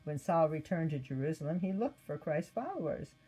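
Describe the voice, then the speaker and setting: Low, mellow woman reading a story. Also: very animated, fairly quick, slight background noise. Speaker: storybook reader. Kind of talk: scripted story narration, read aloud.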